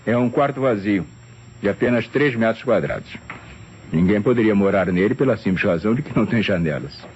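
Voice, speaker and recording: A man's voice: lowish voice, lively intonation, a little fast, a little mellow, natural voice. An actor playing a courteous, talkative male secretary in an old radio drama. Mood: courteous, explanatory. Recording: dull, hissy old radio recording.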